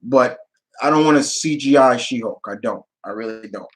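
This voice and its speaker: A man hosting a casual livestream Q&A, speaking off the cuff in a halting way, repeating phrases and reading viewer questions aloud before answering. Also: mid-range voice.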